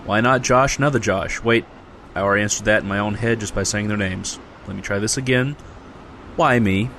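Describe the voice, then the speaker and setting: Exasperated, low-voiced sarcastic everyman, acted by a man. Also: a little fast, a bit bright, a little hiss or hum. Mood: incredulous. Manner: sarcastic, incredulous. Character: Jesse, exasperated everyman dragged along to a magic convention. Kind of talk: comedic audio drama dialogue.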